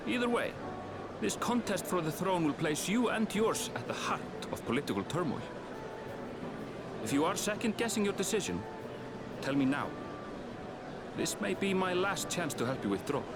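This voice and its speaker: An actor playing an earnest young guide in a video game cutscene, speaking in a measured, formal and courteous way. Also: male voice, high-pitched, sing-song. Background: game music.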